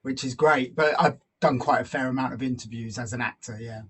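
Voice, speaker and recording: A man speaking as an interview guest, a voice actor chatting casually and pausing to find his words. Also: medium pitch, fairly bright tone, husky.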